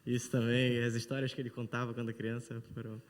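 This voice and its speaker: A man's voice: medium pitch, little pitch movement, racing. A Brazilian man in his twenties with a Brazilian Portuguese accent, giving an earnest conference testimonial. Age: 20s. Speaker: panel speaker. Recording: long hall reverb.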